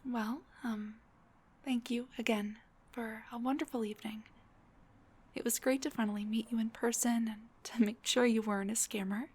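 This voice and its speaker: A woman playing a shy, sweet, flirty dullahan on a date, soft, breathy and hesitant, in an intimate audio roleplay. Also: a little high, steady tone, normal speed. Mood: flustered, grateful.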